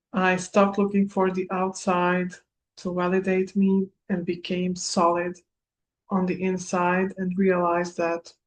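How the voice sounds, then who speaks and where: Woman from Serbia, Hungarian native, spiritual coach, unhurried, even monotone delivery. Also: lowish voice, rough recording. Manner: slow, measured, with small laughs. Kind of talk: recorded masterclass talk.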